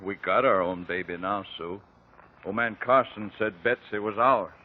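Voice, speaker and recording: A man's voice: on the low side, normal speed, phone-quality sound, close to an everyday voice. A man playing a stubborn, protective young farmer husband with a rural American accent in old-time radio style. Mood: reassuring. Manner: folksy, firm country talk. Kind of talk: 1950s American radio drama acting. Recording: thin, faintly noisy 1950 radio recording.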